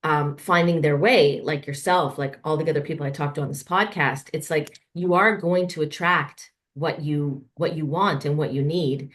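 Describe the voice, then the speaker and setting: A woman's voice: sits low, steady tone, normal speed, few pauses. A woman hosting a podcast, musing in a rambling way with many fillers before she asks her questions. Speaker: podcast host. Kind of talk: casual interview conversation.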